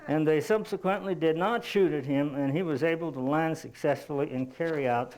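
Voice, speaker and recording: A man giving a lecture and telling anecdotes to an audience in a measured, halting, explanatory way. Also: a little high, darkish tone, rough recording.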